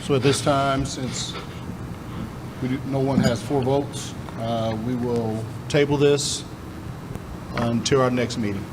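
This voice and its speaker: A man presiding as board president, moving briskly through procedural items. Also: mid-range voice, steady tone. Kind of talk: chairing a meeting. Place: school meeting hall. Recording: noisy meeting room.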